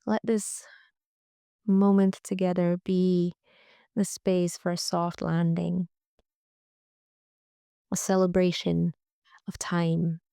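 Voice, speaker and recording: A woman leading a guided relaxation meditation in a gentle, soothing, unhurried way, with frequent pauses. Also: on the low side, little pitch movement, creaky, clear, resonant tone, no echo, studio quality. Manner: gentle, soothing, pausing often. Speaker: yoga nidra guide.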